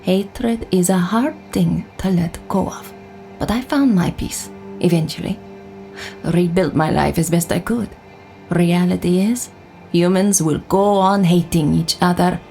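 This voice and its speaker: A seasoned female veteran, wry and unsentimental, with a crackling fry in her mid-pitched voice. She talks bluntly and reflectively, in the manner of a scripted audio drama. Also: darkish tone. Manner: blunt, plain-spoken, reflective. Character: world-weary, candid female war veteran, wry and unsentimental.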